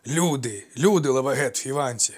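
A man voicing an old male ex-soldier, out of breath and wheezing, talking loud and urgent. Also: medium pitch, animated, normal speed, natural voice. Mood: rambling, breathless.

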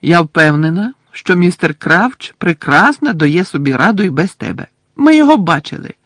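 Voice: medium pitch, big pitch swings, moderate pace, slightly rough, dry recording, clean studio sound, voice pushed up. A reader voicing a sensible teenage girl witch who speaks firmly and reassuringly. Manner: firm, reassuring.

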